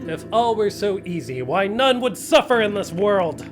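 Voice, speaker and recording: Man acting a cocky young thief, animated voice. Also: high voice, normal speed, music in the background. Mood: dramatic, defiant. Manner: archaic stage declaiming switching to casual banter. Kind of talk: theatrical video game fan dub acting.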